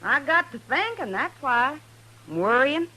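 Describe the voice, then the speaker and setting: An actress playing a stubborn, rough-spoken American frontier woman, folksy and defiant in an old-time radio western. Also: high-pitched, sing-song, a little slow, bright, forceful tone, full character voice, low-quality recording.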